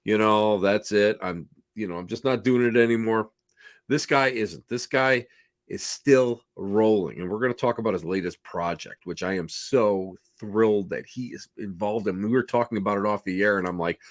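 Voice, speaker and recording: Slightly hoarse man hosting casually. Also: lowish voice, moderate pace, no room sound, clean studio sound. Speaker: host of a paranormal talk show. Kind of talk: casual rambling show introduction.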